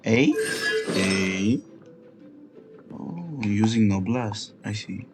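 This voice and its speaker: A man hosts a casual let's play in a fairly low voice. He talks slowly, thinking aloud and muttering reactions. Game music plays clearly behind him. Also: expressive. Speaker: gaming video host.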